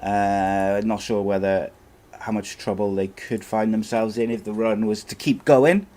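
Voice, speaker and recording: A man's voice: fairly low pitch, fairly even intonation, measured pace, dark tone. A man hosting a live football podcast, matey and casual, reading listener questions and calling his guest "mate". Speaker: podcast host.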